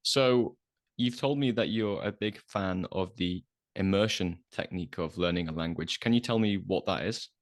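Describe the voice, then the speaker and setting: A man hosting an interview, speaking clearly in a friendly, encouraging way. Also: sits low, moderate pace. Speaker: interview host, English teacher.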